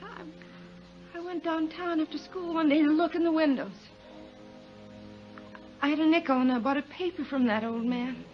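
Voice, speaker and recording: Woman acting a high-voiced, despairing young woman. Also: moderate pace, pauses often, faint music behind, lightly acted. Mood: subdued. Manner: anguished, weary, self-pitying. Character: despairing, distraught young woman threatening to jump. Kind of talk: 1950s radio drama acting. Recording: muffled, noisy 1950s radio recording.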